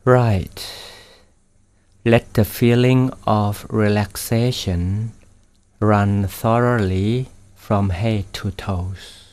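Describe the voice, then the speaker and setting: A man, a Buddhist meditation guide speaking in a soft, gently instructive way with long pauses while leading a guided meditation. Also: fairly low pitch, fairly even intonation. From Thailand. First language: Thai.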